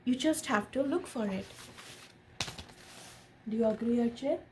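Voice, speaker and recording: A woman reading a picture book aloud in an expressive storytelling style, pausing to explain and ask questions of a child and viewers. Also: fairly high pitch. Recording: noticeable background noise.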